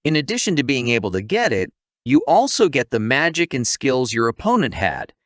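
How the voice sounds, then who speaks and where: A man's voice: medium pitch, big pitch swings, brisk, fairly bright tone, no room sound, clean studio sound. A man narrating a humorous scripted story recap in a playful, theatrical way, addressing listeners directly.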